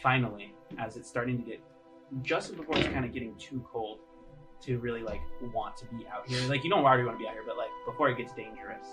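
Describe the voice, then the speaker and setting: Male game master, casual halting narration, mid-range voice, conversational, prominent music underneath. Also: mid-range voice, poor audio. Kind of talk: tabletop roleplay narration.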